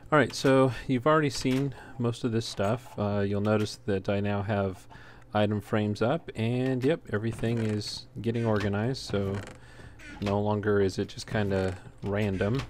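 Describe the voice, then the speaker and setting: Man, low pitch, casual game walkthrough, hesitant easygoing delivery, background noise. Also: fairly even intonation, moderate pace. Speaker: gaming video host. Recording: noisy home recording, clear background noise.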